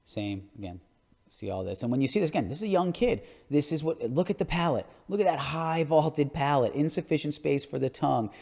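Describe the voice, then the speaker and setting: A man's voice: on the high side, expressive, a little fast, vocal fry, a little mellow. A man giving an educational podcast lecture, explaining images in a conversational way with emphatic asides. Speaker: orthodontist hosting an educational podcast. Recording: long hall-like reverb.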